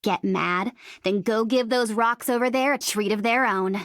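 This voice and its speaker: A woman's voice: fairly high pitch, a little slow, a bit bright, no echo. Sardonic, cocky female mercenary, dry recording. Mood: wry, playful. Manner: sarcastic, taunting, teasing delivery. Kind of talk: video game character voice lines.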